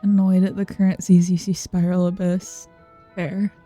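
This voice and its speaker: A woman's voice: lowish voice, flat delivery, normal speed, dark tone. A woman game streamer chatting casually and reacting while she plays. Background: game music.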